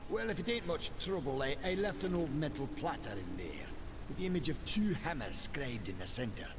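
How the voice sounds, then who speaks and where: A man's voice: fairly high pitch, very animated, fairly quick. An actor playing a huge, earnest male smith-giant in a video game, speaking plainly with homely turns of phrase. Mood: folksy. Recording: game audio with background noise.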